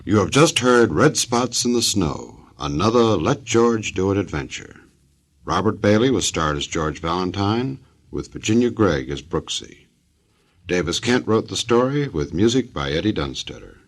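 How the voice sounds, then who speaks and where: A man's voice: low-pitched, expressive, normal speed. A man announcing a 1940s radio drama in a scripted presenter's style.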